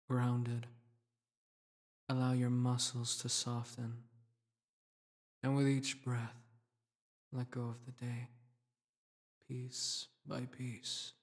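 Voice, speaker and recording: Guy doing a solemn dream king for a sleep meditation, soft soothing voice, super slow, almost flat, gently protective. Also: medium pitch, close to an everyday voice. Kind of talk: guided meditation, sleep-aid roleplay.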